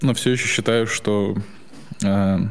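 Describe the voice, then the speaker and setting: A young man with a fairly low voice chats casually on a podcast. He talks haltingly, restarting his sentences and letting short laughs slip between thoughts. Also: fairly even intonation, clean tone. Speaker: podcast co-host.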